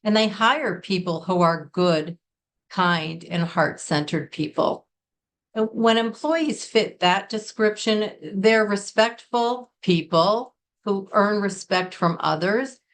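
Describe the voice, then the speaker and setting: An American woman from Connecticut, middle-aged, talks through a business English lesson in a sincere, carefully paced way. Her voice sits at an ordinary middle pitch. She puts weight on important words as she explains. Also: lively intonation, takes it slowly, a little hiss or hum. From Connecticut, United States. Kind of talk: scripted lesson to camera.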